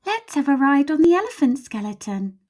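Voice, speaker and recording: A woman's voice: high-pitched, sing-song, fairly quick, a little mellow, pitched above the performer's own voice. A woman voicing a playful, childlike little male skeleton in a storybook, eager for mischief. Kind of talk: storybook read-aloud.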